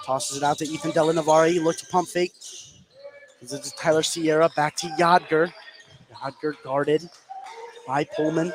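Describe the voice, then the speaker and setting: A man giving live play-by-play radio commentary on a basketball game, getting excitable on big plays. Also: a little high, background noise. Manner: excitable on big plays, calling action as it happens. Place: indoor basketball gym, courtside.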